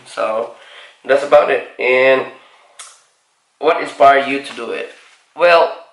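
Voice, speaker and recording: A forty-year-old man from the Philippines answering viewer questions in a casual vlog, halting with many fillers and restarts. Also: mid-range voice, sharp tone, reverberant, rough recording. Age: 40s. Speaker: car vlogger.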